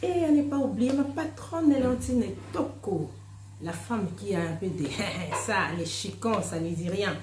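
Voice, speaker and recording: A woman's voice: mid-range voice, measured pace, noisy background. A woman with a Congolese French accent, host of an online news channel, chatting casually to her viewers, dropping in "hein" and slipping into Lingala. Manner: animated, chatty, sprinkles "hein", slips into Lingala. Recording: roomy echo.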